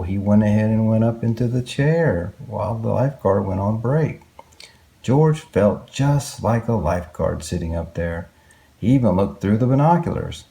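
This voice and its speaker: A man's voice: lowish voice, gravelly, mellow. A man reading a picture book aloud to young children, expressively, with exclamations and questions to his listeners. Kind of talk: read-aloud picture book narration with asides.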